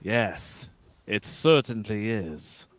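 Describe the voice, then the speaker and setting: A man playing an earnest, polite starship captain in an amateur radio play, speaking in a deliberate, halting way. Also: on the low side, expressive. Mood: puzzled, polite.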